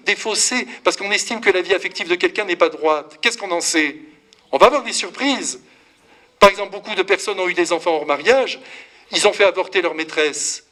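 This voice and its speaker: Un prêtre d'une cinquantaine d'années prêche un sermon avec vivacité, taquine l'assemblée et s'adresse directement à elle. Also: male voice, high-pitched. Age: 50s. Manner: lively, teasing, talks straight to the congregation. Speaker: priest.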